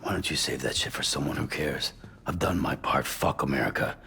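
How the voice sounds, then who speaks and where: An actor playing a gruff, weary, defiant courier in a video game, blunt and terse. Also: male voice, very deep voice, fairly quick, sharp tone, full character voice. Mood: defiant, frustrated. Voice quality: gravelly. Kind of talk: cinematic video game acting.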